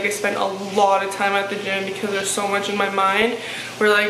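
Deep-voiced young woman, flat and chatty. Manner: chatty, frank, lots of "like" fillers. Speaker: fitness vlogger. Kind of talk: casual Q&A vlog. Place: living room, seated on a sofa. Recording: echoey room with faint hiss.

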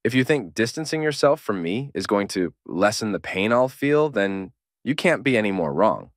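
Brief: man reading a loyal, stubborn teen boy, low voice that swings widely in pitch, insistent, dry close recording. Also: sing-song, normal speed, no echo. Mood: determined. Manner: insistent, pressing questions. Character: teenage boy, loyal, stubborn, protective friend. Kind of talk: podfic voice acting.

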